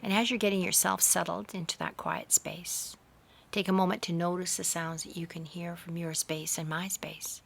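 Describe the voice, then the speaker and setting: Low-pitched, creaky woman guiding a meditation. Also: fairly even intonation, normal speed. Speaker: guided meditation host. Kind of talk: slow, soothing guided meditation with hypnotic induction. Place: outdoors in a garden. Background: faint outdoor garden ambience.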